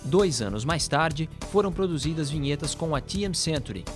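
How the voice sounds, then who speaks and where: A man's voice: a little high, expressive, moderate pace. A man with a Brazilian accent narrating a documentary from a script. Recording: music bed behind voice. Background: music.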